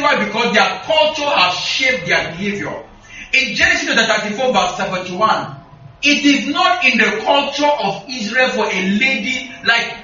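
A man's voice: very high-pitched, sharp tone. A man from northern Nigeria with a Nigerian accent, preaching a sermon and often putting questions to the congregation. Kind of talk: sermon with call and response. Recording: echoey, distant, slightly muffled hall recording.

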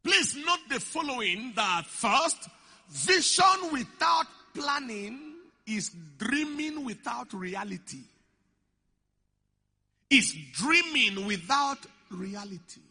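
A man preaching a sermon, stressing key phrases and repeating them slowly. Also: very high-pitched, lively intonation, bright, forceful tone, breathy edge. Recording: echoey hall.

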